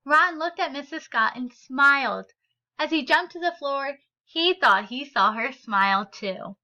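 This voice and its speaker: A woman reading a children's picture book aloud with deliberate, expressive phrasing for young listeners. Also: sits high, dull audio. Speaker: read-aloud storyteller.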